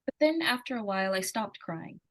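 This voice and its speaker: A woman's voice: medium pitch, expressive, fairly quick, lightly acted. A woman playing an earnest, determined young schoolgirl who tells her story in plain, insistent words in an old-time radio suspense drama. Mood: earnest, narrating.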